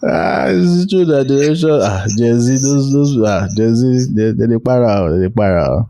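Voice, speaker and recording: A man's voice: mid-range voice, expressive, moderate pace, warm tone. A man with a Nigerian accent hosting a podcast in a casual interview style. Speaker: podcast host.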